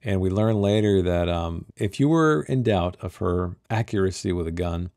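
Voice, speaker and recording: A man with a low, mellow voice leads a book read-along. The sound is dry and close. He moves between reading prose and casual, musing commentary, often posing rhetorical questions. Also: animated, moderate pace, no echo.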